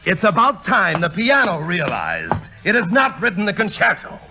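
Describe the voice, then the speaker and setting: An actor playing a proud, exasperated playwright, heated, biting and articulate in 1950s radio drama style. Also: male voice, high-pitched, big pitch swings, normal speed, over music. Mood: indignant.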